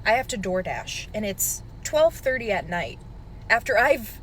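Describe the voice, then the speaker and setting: A woman's voice: medium pitch, very animated, unhurried, fairly bright tone, a little hiss or hum, low-quality recording. A weary, frustrated woman who works in an operating room, venting to camera.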